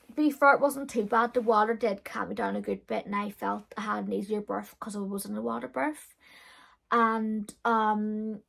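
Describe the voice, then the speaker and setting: A young woman recounts her own story in a loose, chatty vlog manner, peppering it with fillers. Her voice is loud, with a gravelly, creaky edge running under mid-range pitch. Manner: chatty, frank, with frequent fillers and laughs. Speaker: beauty vlogger. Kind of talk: casual storytelling.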